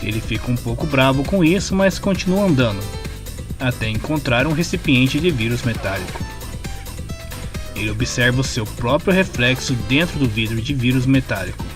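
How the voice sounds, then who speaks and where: A man's voice: medium pitch, expressive, normal speed. A man with a Brazilian accent reading a scripted retelling of a story as its narrator. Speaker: narrator of comic story summaries. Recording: music clearly playing behind the voice. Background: background music.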